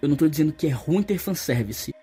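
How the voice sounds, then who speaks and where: A man's voice: a little high, animated, quick pace, warm tone, slightly rough. A young man with a Brazilian accent delivers opinionated, colloquial video-essay commentary, getting emphatic when he criticizes. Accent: Brazilian Portuguese. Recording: voice-over over background music. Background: music.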